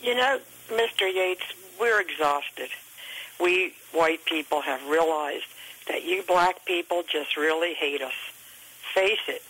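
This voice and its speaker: Husky, bright woman on a voicemail. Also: on the low side, takes it slowly. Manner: aggrieved, accusatory. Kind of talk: voicemail monologue. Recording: voicemail over a telephone line.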